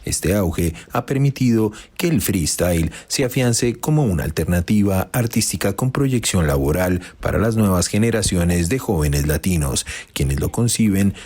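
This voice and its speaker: A man narrating a news report as a voice-over in a steady, formal reading. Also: sits low, normal speed, few pauses.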